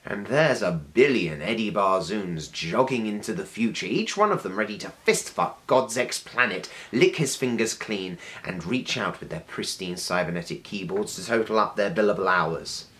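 A man performing a theatrical film monologue as a sardonic, menacing devil full of contempt, dramatic and shifting between hushed and forceful delivery. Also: fairly low pitch, expressive, moderate pace, hardly any pauses, voice pushed down. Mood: raging. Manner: dramatic, swinging from whispers to raging outbursts. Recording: noticeable background hiss.

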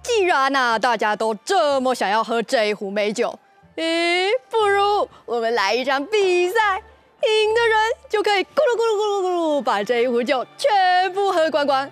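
Woman as boastful male guest in a kids' fable; smug, drawn-out delivery, high register. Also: expressive, faint music behind. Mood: scheming, gleeful. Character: third guest, boastful cocky male storybook snake-drawer.

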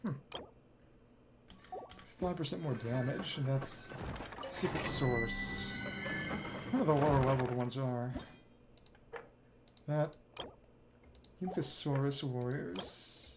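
A man giving casual gameplay commentary as a streamer, thinking aloud in short, halting fragments. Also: medium pitch, very noisy. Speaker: game streamer. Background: video game music.

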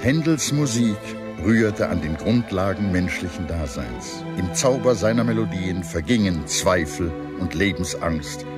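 A man reading scripted historical narration as a documentary narrator, in a measured, formal manner. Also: low voice, animated. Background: music behind the narration.

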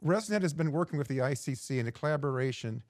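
A middle-aged man giving a prepared keynote as an executive director, measured and reading from slides with occasional restarts. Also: on the high side, animated, a little mellow, dry recording, studio quality. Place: indoor studio in front of a backdrop.

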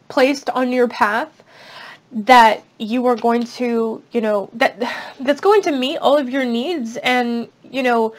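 A young woman giving a casual tarot reading at a table, chatty and reassuring, her voice slightly raspy. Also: high voice. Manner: chatty, reassuring, many fillers. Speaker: tarot reader. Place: indoors at a table.

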